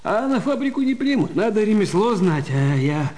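A man's voice: high-pitched, sing-song, normal speed, a little mellow. An actor playing a ragged, drunken beggar in a radio drama, pleading and faltering as he repeats himself to justify his plight. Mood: helpless, protesting. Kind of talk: dramatised literary radio play in Russian.

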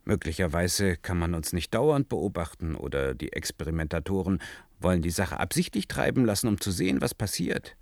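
An actor playing an earnest, analytical scientist in a science fiction radio play, speaking in a deliberate, precise, insistent manner. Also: male voice, low-pitched, animated, vocal fry, darkish tone. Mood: brooding, analytical.

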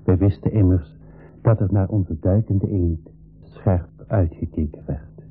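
A man's voice: low voice, fairly even intonation, unhurried, slightly rough, phone-quality sound. An actor playing a young, anxious male adventurer who also narrates a 1980s school radio drama. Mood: earnest, grave. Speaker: narrator and character in a radio play. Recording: band-limited radio recording, faint music.

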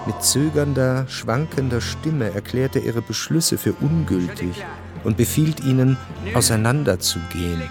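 Narrator, radio drama: sober chronicler, mid-pitched, scripted, music clearly underneath. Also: male voice, animated, a little slow. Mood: measured, tense. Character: sober male chronicler narrating revolutionary events. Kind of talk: scripted radio play narration in present tense.

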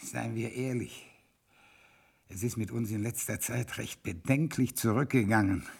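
A man playing a shrewd, commanding old troll king in a classic radio play, speaking in a measured, lordly way. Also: medium pitch, creaky. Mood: scheming.

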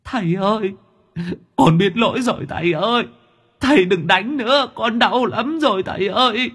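Man doing a high, whining teenage ghost boy. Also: moderate pace, a bit bright, husky. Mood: begging, wailing. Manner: tearful, wailing, pleading.

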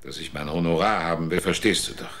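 An actor in a radio drama playing a hard-nosed investigator who presses witnesses in a cool, clipped, insistent way. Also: male voice, low voice, quick pace, fairly bright tone, slight background noise. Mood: insistent, cold.

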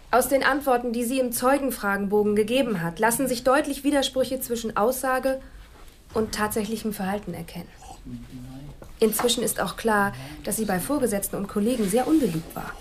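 An actress playing an analytical police profiler in a radio drama, speaking in a measured, formal, clinical way. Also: female voice, a little high, noisy background, natural voice. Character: analytical police profiler presenting a personality assessment. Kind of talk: German radio drama acting.

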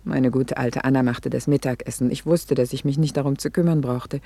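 A middle-aged wife in an intimate radio play, soft, dark and husky in a dry, close recording. She is reflective and a little vain, confiding her self-reproach with hesitant pauses that sink toward a whisper. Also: extremely low pitch, fairly even intonation, no echo, exaggerated voice. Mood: wistful, reflective.

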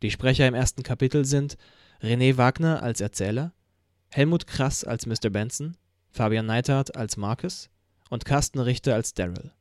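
A man's voice: medium pitch, moderate pace, darkish tone, dry recording, clean studio sound. A man acting as announcer, reading out the scripted credits of an audio drama.

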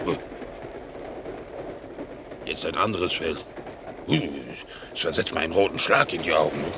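An eager, innocent dog in a radio drama. The voice is on the low side and swings widely in pitch as it exclaims and marvels. A clear rumble of noise, like a moving train, runs behind it. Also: male voice. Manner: wide-eyed, exclamatory, wondering. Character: excitable, naive, good-natured male pet dog. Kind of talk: German radio play acting.

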